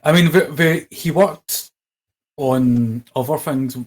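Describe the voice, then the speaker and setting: A man's voice: on the high side, slow. Man hosting a chat show. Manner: hesitant, lots of ums and restarts. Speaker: podcast host. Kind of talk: casual conversation.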